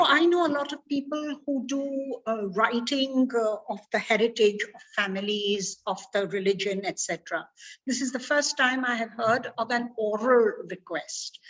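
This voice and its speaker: High-voiced woman moderating a call. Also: fairly bright tone, highs cut off. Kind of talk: community video call.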